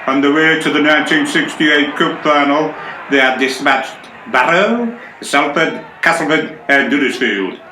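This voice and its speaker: A formal sixties sports commentator, played by a man, introducing a broadcast in a radio play. The voice is sharp and forceful, in a roomy sound with faint noise. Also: a little high, expressive, unhurried. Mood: announcing. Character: formal male 1960s television rugby commentator.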